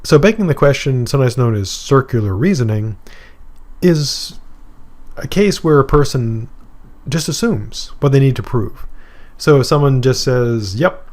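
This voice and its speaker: A middle-aged man from Maine lectures on philosophy at a mid pitch. He talks in a loose, conversational way and stops for examples, fillers and asides. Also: expressive, measured pace, darkish tone. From Maine, USA. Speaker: philosophy professor. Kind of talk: recorded course lecture.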